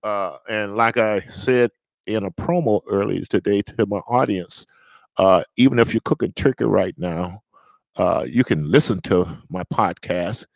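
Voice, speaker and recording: Halting man hosting a podcast. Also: on the low side, vocal fry, no echo. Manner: halting, many uh fillers. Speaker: podcast host. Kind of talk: casual podcast interview.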